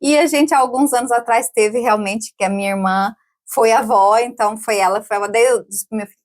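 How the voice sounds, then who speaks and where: Chatty woman in her forties, slightly high. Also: brisk. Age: 40s. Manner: chatty, laughs often, many tag questions. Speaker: podcast guest. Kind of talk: casual interview chat.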